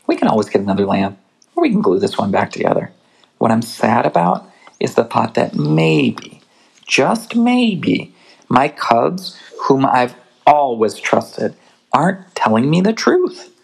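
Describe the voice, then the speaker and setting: A storybook reader voicing a gentle, wise mother bear who talks softly and earnestly. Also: medium pitch, very animated, a little slow, vocal fry, husky, exaggerated voice, rough recording. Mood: gently sad. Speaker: storybook character voiced by the reader. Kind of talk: storybook reading aloud.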